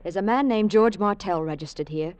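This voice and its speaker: An actress playing a cool, scheming femme fatale with a poised, insinuating delivery in a 1940s radio drama. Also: female voice, mid-range voice, a little fast, a little mellow, clean tone, close to an everyday voice. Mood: cool, enticing.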